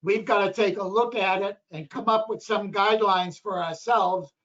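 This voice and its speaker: Hoarse guy on a planning board, kinda high voice, rambles on, muffled lo-fi sound. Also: fairly even intonation, normal speed, a bit bright. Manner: rambling, repeats himself. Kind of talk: meeting discussion. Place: town meeting room. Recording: dull lo-fi local TV audio.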